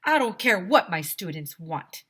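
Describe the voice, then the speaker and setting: A woman voicing a mean, bullying male school principal who barks slow, menacing orders in a children's storybook. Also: lowish voice, sing-song, slightly rough, heavily acted. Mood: angry. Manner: barking, menacing, drawn-out shouting. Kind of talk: children's storybook read-aloud.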